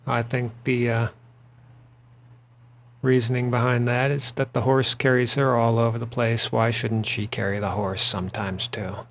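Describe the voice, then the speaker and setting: A man's voice: mid-range voice, little pitch movement, normal speed, a bit bright. A man hosting a drawing show, chatting casually and rambling a little with frequent fillers while he sketches. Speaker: artist and host.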